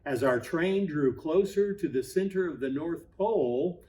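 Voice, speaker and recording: A man narrates a children's story very slowly, in a slightly higher male register. His phrasing is measured and expressive, with dramatic pauses. The mic sits a little way from him. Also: a little hiss or hum. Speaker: pastor reading a Christmas story aloud. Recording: voice at a distance from mic.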